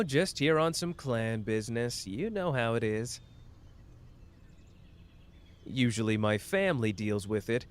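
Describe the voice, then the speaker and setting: A man playing a cocky, playful young sorcerer teacher in an ASMR roleplay, breezy and teasing as he speaks to the listener. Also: medium pitch. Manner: breezy, teasing, self-assured.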